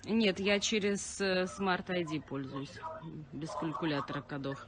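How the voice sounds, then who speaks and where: A woman's voice: lowish voice, unhurried. Skeptical woman on a noisy phone call. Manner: calm, skeptical, wary. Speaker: caller's target, a channel subscriber. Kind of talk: phone conversation.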